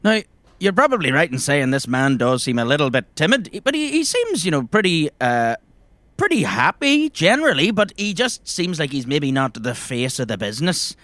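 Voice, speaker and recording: A man's voice: on the high side, sing-song, normal speed, a bit bright, no room sound. A man with an Irish accent narrating a tabletop game as game master in a descriptive, conversational way.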